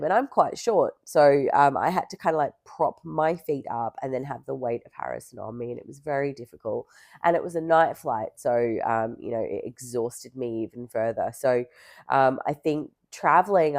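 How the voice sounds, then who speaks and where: A young woman vlogging casually to camera, hoarse from illness and rambling with many fillers. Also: very deep voice, darkish tone, no echo, clean studio sound.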